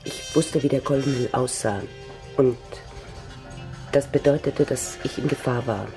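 An actress playing an anxious, determined, secretive woman in a radio drama, with a husky, soft voice and urgent, pleading delivery. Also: very deep voice, unhurried, music in the background. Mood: subdued confession. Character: anxious, determined woman hiding a dangerous past.

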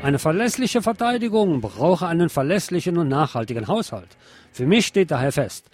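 A man's voice: medium pitch, a little mellow. A man presenting radio news, reading reports in a scripted style and slipping in sardonic asides. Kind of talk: reading news bulletins with wry commentary.

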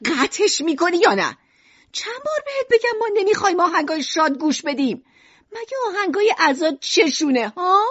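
A woman voicing a melodramatic, exasperated mother who scolds in a shrill, wailing voice. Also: sing-song, a bit bright, full character voice. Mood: scolding. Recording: dull lo-fi audio.

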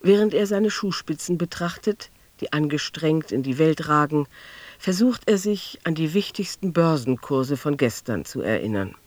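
Male narrator, fairly high voice, dry close studio sound, deliberate, observational, literary radio drama. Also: animated, no room sound.

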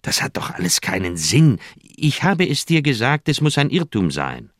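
An actor playing a troubled, well-meaning old doctor in a radio crime drama, hesitant and evasive. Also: male voice, mid-range voice, sing-song, normal speed, no echo, clean studio sound, close to an everyday voice. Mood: uneasy. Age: older.

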